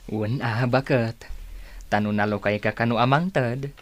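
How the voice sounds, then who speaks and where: An actor playing a supportive, generous, community-minded husband in an Ilocano radio drama, speaking expressively. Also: male voice, mid-range voice, steady tone, unhurried, lightly acted. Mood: agreeable.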